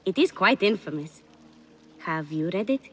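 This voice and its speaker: A woman's voice: lowish voice, lively intonation, normal speed, slightly rough. An actress voicing a coy young palace schemer, fiercely loyal to her mistress, in a video game cutscene. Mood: coy, curious.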